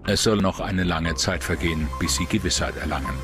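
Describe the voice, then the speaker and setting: A man reading scripted true-crime documentary narration as the narrator, in a measured, suspenseful way. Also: deep voice, over loud music.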